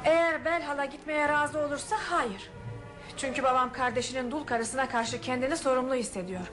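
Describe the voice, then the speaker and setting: A woman's voice: sits high, fairly quick, over music, close to an everyday voice. A woman acting in a radio play as a composed, resolute, suspicious daughter of a wealthy household, speaking in a firm, formal way. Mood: cool, accusing.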